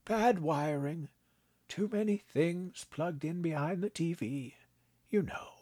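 A man doing a calm frail old grandfather. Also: fairly high pitch, no room sound, pitched above the performer's own voice. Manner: slow, gentle, unbothered.

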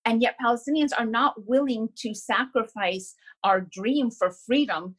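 A woman activist answers interview questions with passion. She speaks quickly, often restarting her phrases and using fillers. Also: a little high. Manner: impassioned, fast, with many fillers and restarts. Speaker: guest activist.

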